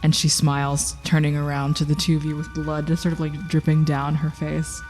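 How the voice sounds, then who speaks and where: A man describing his character's moves as a tabletop game player, halting and dramatic in his storytelling. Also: a little high, completely flat delivery, a little mellow. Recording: long hall reverb, background music. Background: music.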